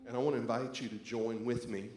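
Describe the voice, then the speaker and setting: A man's voice: medium pitch, fairly even intonation, fast, darkish tone, faint music behind. A man speaking as a pastor, introducing a church service and leading a scripture reading. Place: church sanctuary. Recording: echoey church, faint background noise.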